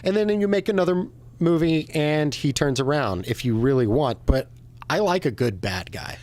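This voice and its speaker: A man's voice: a little high, animated, normal speed, darkish tone. A man co-hosting a podcast, chatting casually about games and films. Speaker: podcast co-host.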